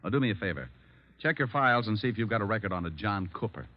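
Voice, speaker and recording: An actor playing a breezy, wisecracking private detective in an old-time radio drama, with dry, slangy delivery. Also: male voice, lowish voice, expressive, quick pace, no echo, lightly acted. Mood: wry, brisk. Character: wry, breezy private detective, adult man. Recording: dull lo-fi old radio recording.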